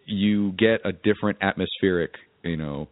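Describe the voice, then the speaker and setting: A man's voice: deep voice, moderate pace, no echo, narrow, tinny audio. A man co-hosting a podcast in casual, informal conversation. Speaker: podcast co-host. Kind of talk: casual chat.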